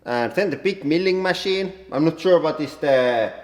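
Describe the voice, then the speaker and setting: Finnish-accented man, non-native English, halting and casual; echoey hall with long reverb. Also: a little high, lively intonation. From Finland. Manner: plain halting English with many "like" fillers. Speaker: workshop owner and channel host. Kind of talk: casual workshop tour. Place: large industrial workshop hall.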